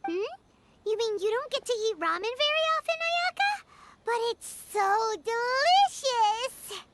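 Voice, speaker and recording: A woman's voice: extremely high pitch, big pitch swings, a little slow, very noisy, full character voice. A woman voicing a small, bubbly, food-loving childlike fairy companion in an anime game, exclaiming as she talks. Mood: excited. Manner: speaks of self in third person, exclaiming.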